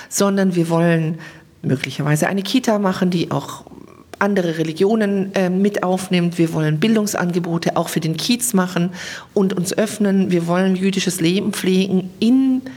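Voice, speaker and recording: A woman's voice: on the low side, moderate pace, a little mellow, slightly rough. A woman speaking as an interview guest, explaining her views in German. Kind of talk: spoken interview answers, explanatory.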